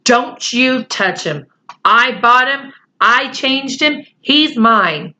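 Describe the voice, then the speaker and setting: A woman reading aloud and voicing a stubborn, hurt boy who yelps and argues in a high voice. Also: expressive, slow, pushed and bright, heavily acted. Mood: defiant. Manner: shrill, yelping, defiant. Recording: slightly muffled home recording.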